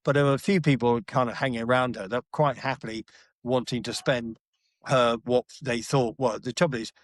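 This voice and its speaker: A man's voice: mid-range voice, fairly even intonation, fairly quick, no echo. A middle-aged man telling blunt stories from his life as a podcast guest, with salty slang and frequent restarts. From Britain. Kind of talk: casual storytelling interview.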